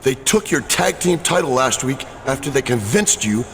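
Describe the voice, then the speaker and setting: An insistent, plain-spoken wrestler in a video game. His medium-pitched voice moves with lively emphasis. Noise is clearly present behind him. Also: normal speed. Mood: urgent concern. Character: earnest, pleading man wrestler urging his tag partner. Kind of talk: scripted video game cutscene acting.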